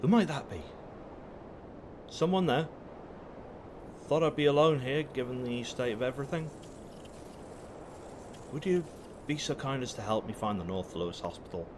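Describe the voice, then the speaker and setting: A man's voice: mid-range voice, lively intonation, moderate pace, pauses often, a little mellow, slight background noise, close to an everyday voice. Cocky, flirtatious space rogue played by a man. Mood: wry, taunting. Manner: playful, teasing, theatrical. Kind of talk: audio drama acting.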